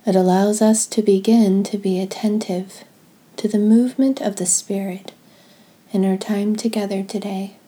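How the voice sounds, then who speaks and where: A woman leading a guided devotional reading in a gentle, deliberate way, pausing between short phrases. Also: medium pitch, steady tone. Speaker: podcast host leading guided prayer and scripture reading.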